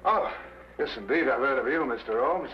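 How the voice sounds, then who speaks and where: An actor playing a deferential, gossipy stage doorkeeper who hesitates a little, in an old-time mystery drama. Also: male voice, medium pitch, expressive, fairly quick, faint music behind, lightly acted. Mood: helpful, gossipy. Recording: dull old radio recording.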